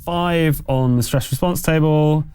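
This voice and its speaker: A man's voice: on the high side, unhurried, a little mellow, clear, resonant tone, no room sound. A man running a tabletop roleplaying game, narrating off the cuff and chatting casually, with hesitant, trailing phrasing and self-corrections. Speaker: game master.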